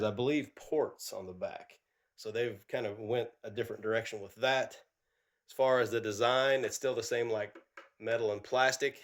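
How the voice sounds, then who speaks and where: A man doing a casual product review, congested and nasal from a cold, talking in a loose, rambling way with frequent restarts. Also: medium pitch.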